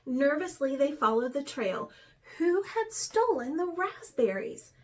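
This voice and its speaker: A woman, a teacher, reading a children's storybook aloud in an expressive way. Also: sits high, a little slow.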